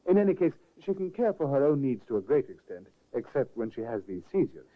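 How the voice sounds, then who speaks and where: A man's voice: mid-range voice, big pitch swings, a little fast, natural voice. A man playing a courteous, solicitous nephew. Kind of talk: 1950s radio drama acting. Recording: tinny restored old radio broadcast.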